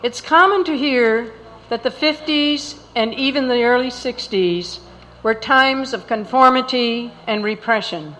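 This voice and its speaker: A woman's voice: fairly high pitch, measured pace, a bit bright. A woman presenting at a live event, reading a scripted speech in a large auditorium. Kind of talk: scripted speech introducing a speaker. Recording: echoey hall with long reverb.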